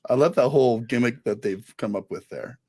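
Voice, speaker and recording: A man who is sick and hoarse, talking in a deep raspy voice as a laid-back podcast guest. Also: brisk, mellow, dry recording, clean studio sound. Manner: laid-back, swears casually. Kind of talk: casual chat.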